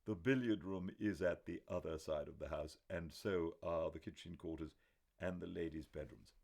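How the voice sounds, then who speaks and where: A man's voice: low-pitched, moderate pace, creaky, a little mellow. A man voicing a stiff, proper older butler who speaks with formal, deferential politeness.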